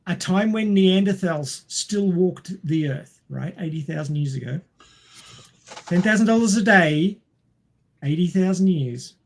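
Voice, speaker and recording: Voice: medium pitch, darkish tone. A podcast panelist in casual group chat, speaking in a playful, explanatory way. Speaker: podcast panelist posing questions.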